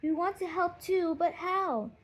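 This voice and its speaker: An eager young child from a storybook acted by a woman, pitched very high and pleading. The delivery is keen and wide-eyed, as in a children's audiobook. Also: measured pace. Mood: concerned. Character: excited, pleading young children, brother and sister. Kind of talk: audiobook character voices.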